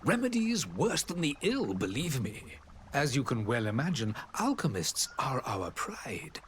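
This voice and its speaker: An actor playing a dignified, courteous diplomat in a role-playing game, speaking formally and eloquently. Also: male voice, mid-range voice, sing-song, measured pace, a little hiss or hum. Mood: indignant. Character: dignified male diplomat of a foreign alliance, proud and courteous.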